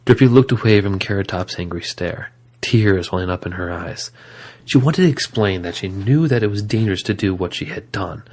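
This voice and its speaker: Very deep voice, story narrator, scripted audio drama reading. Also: darkish tone.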